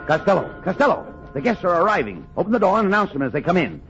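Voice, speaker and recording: A man's voice: sits high, very animated, fast, over music. A man acting an exasperated, bossy uncle in a 1940s radio comedy, scolding and emphatic. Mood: bossy, impatient. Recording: noisy lo-fi 1940s radio recording.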